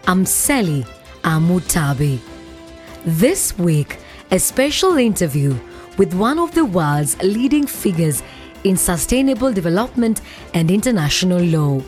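A woman hosting a science podcast, reading a scripted introduction in a clear presenting voice. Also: fairly low pitch. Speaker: podcast host.

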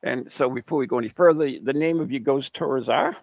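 A man's voice: medium pitch, fairly quick, creaky, no room sound. A man hosting a podcast who interviews his guest casually, affirming with short interjections. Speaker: podcast host. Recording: dull lo-fi sound.

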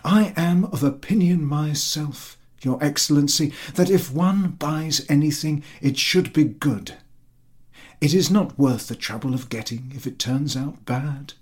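A man's voice: fairly high pitch, unhurried, darkish tone, husky, pitched above the performer's own voice. A man voicing an eager, boastful landowner who fawns effusively on his superior in a story reading. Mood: effusive, self-satisfied. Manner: obsequious, effusive, over-polite.

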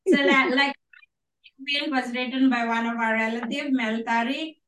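Bright-voiced Indonesian woman pastor, echoey room. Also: high voice, steady tone, normal speed, far from the mic, a little hiss or hum. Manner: warm, laughing, affirming with amens. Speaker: guest pastor. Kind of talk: testimony at a church gathering. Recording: roomy echo, mic from afar.

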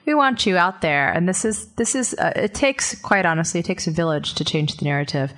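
Earnest low-voiced woman guest. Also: animated, a little fast, fairly bright tone. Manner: earnest, rambling with restarts. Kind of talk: casual chat.

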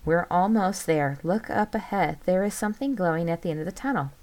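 A woman's voice: fairly low pitch, animated, a little fast, darkish tone, voice pushed up, close to an everyday voice. A woman voicing a friendly young man in a spooky story, chatty and earnest. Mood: eager.

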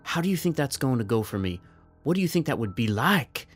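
A man playing a resigned, trapped soldier in an audio drama, speaking in a measured, earnest, persuasive way. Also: medium pitch, big pitch swings, breathy edge, soft background music. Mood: resigned pleading.

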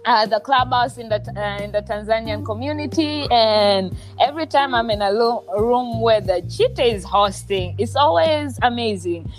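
A woman hosting a casual podcast, playful and quick to laugh, cussing freely. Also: on the high side, faint music behind. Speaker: podcast host.

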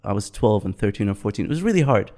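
A man in his fifties from Bahrain, chatting in a relaxed podcast conversation, reflective, often repeating and restarting his words. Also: fairly low pitch, quick pace. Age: 50s. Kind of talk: casual podcast chat.

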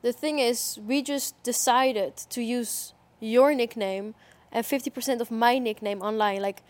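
Higher-voiced woman co-host. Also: fairly even intonation, unhurried. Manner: careful, frequent murmured agreement. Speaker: podcast co-host. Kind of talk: casual chat.

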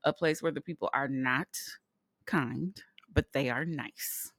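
A woman's voice: very deep voice, measured pace, no echo. A middle-aged woman from California co-hosting a podcast in casual chat, laughing often and swearing freely. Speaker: podcast co-host.

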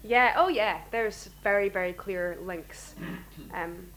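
A woman, a fiddler and singer, chatting as a guest in a home kitchen in a soft, hesitant way with frequent pauses. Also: mid-range voice, a little hiss or hum, low-quality recording. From Scotland. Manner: soft-spoken, hesitant, with many ums. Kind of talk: casual interview chat.

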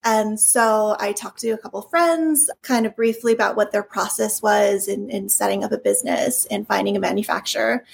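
A woman's voice: medium pitch, steady tone, normal speed, gravelly, hardly any pauses, slight background noise. A woman from California who grew up in Hawaii, talking casually and at length as an interview guest, with many fillers and restarts. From California, raised in Hawaii. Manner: chatty, rambling, frequent restarts and fillers. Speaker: toy company founder, guest.

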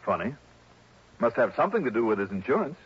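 A man's voice: medium pitch, sing-song, quick pace, husky. An American actor playing a wry, breezy male insurance investigator in old radio drama style. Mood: wry, teasing. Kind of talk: 1950s-60s American radio drama acting and narration. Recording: dull old radio recording with music.

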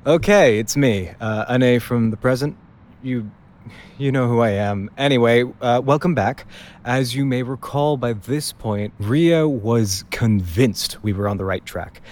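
Man acting as young guy telling about an old road trip, audio drama, kinda hesitant, wistful, chatty, middle pitch. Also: a little slow, a little mellow, natural voice. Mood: confiding. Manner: hesitant, conversational. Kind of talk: audio drama narration, informal confiding monologue.

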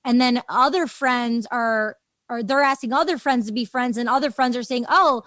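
A woman with a high-pitched voice hosts a casual interview. She thinks aloud in loose, rambling sentences and affirms as she goes. The recording is dry and free of room sound. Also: normal speed, a bit bright, dry recording. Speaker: interviewer, host.

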